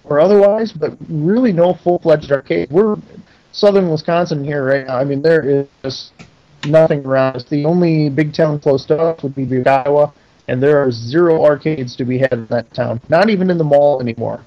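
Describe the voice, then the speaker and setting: A man's voice: fairly high pitch, moderate pace, highs cut off. A middle-aged American man chatting as an interview guest, plain-spoken and folksy. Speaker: podcast guest, pinball maker. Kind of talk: casual interview chat.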